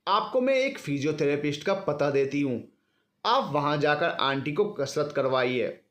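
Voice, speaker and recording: A female doctor, played by a male narrator in an audio story. The delivery is polite and reassuring, explaining patiently in a slightly high, varied voice. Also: lively intonation, normal speed, a bit bright.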